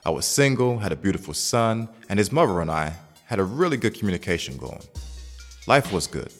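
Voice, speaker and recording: A man acts out a cocky young bachelor in an audio drama. His voice is low and swings in a very lively way, with slangy, confident phrasing. Soft music plays behind him. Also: fairly quick. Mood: reflective. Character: cocky, smitten young single man telling his story. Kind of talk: audio drama narration and dialogue.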